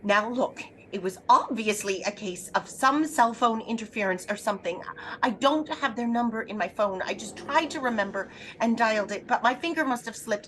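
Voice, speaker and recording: An actress playing an anxious, imperious invalid wife in a throwback radio thriller, agitated, demanding and melodramatic. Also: female voice, a little high, a bit bright, a little hiss or hum, close to an everyday voice, rough recording. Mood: indignant, demanding. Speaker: lead actress in an audio drama.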